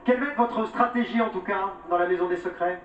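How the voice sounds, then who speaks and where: A man's voice: high voice, fast, faint music behind. A man presenting a TV show in an upbeat, joking manner as he interviews a contestant. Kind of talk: televised interview. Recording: distant, roomy, muffled TV audio.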